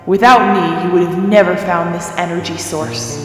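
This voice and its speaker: A woman playing a resentful, taunting girl hedgehog possessed by dark energy in an audio drama. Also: fairly low pitch, steady tone, moderate pace, lightly acted. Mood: taunting, menacing. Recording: echoey, long reverb, faint music behind.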